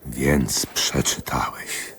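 A man's voice: very deep voice, level tone, extremely slow, vocal fry, fairly bright tone, breathy edge, heavily acted. An actor in a radio drama playing an incredulous male friend who listens and prompts with questions. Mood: curious.

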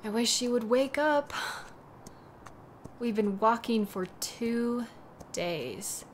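Audio drama lead: actress as devoted young woman, worried, tender, slow delivery, light ambient noise behind. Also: a little high, expressive. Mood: weary, protective. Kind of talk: audio roleplay drama, intimate monologue.

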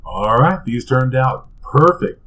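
Male home-cooking host, middle pitch, easygoing and chatty, small kitchen. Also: slow. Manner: relaxed, chatty, with fillers and restarts. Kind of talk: casual cooking demonstration.

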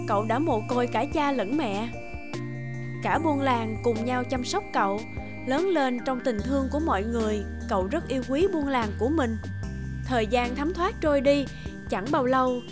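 Lady telling a cartoon fairy tale, high voice, loud music under it. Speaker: narrator. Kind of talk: scripted fairy-tale narration. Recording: narration over loud music.

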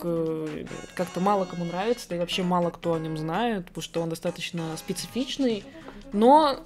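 A young woman chatting casually to camera as an artist and animation vlogger, recorded on a desk microphone in a home room with computers. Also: darkish tone. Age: young adult. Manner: bubbly, chatty, pitch jumps up often, quick asides and laughs.

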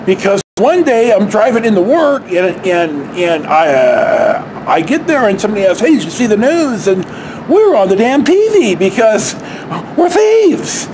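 A middle-aged American man casually telling a story to camera in a halting, rambling way, recorded outdoors on a handheld device. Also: sits high, big pitch swings, noisy background. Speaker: storyteller, vlogger. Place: outdoors beside a stucco house wall. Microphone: handheld camera or phone at arm's length.

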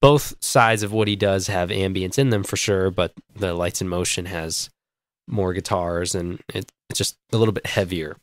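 A male podcast host with a congested, stuffy voice, joking and rambling through casual chat. His voice sits on the low side. The sound is dry and close. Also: normal speed, no echo. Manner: joking, rambling, stumbling over words. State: congested from a cold.

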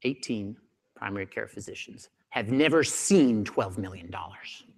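A man in his forties giving a keynote talk on a conference stage, animated and acting out the voices of doctors, on a lapel mic. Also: on the low side, moderate pace, vocal fry, husky, long reverb tail. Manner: animated, acts out doctors' voices, gestures a lot. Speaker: keynote speaker. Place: large conference hall stage.

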